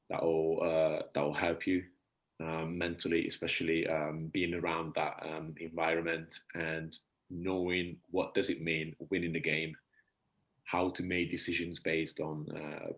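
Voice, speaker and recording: Deep, flat-voiced teen with Hungarian-Brummie accent. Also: male voice. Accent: Hungarian mixed with Birmingham. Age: under 20. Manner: earnest, thoughtful. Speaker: young goalkeeper guest. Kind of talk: podcast interview answer. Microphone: video call.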